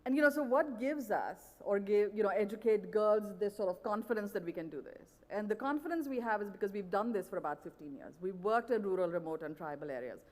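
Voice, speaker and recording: A woman's voice: medium pitch, lively intonation, quick pace, few pauses, darkish tone. Earnest woman speaking in a reverberant hall. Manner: earnest, persuasive, with frequent "you know". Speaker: conference speaker. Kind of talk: pitch talk on stage. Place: large event hall.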